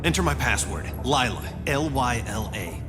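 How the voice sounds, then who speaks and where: An actor playing a serious, impatient futuristic hero in a video game, intense and commanding. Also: male voice, mid-range voice, animated, normal speed, loud music behind the voice, close to an everyday voice.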